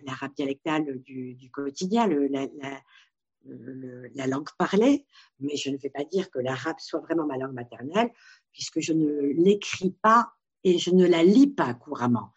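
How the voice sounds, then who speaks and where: A woman from Morocco, a therapist, answering interview questions in a thoughtful, halting way with many restarts. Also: very deep voice, animated, breathy edge. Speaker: Gestalt therapist. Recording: dull lo-fi sound.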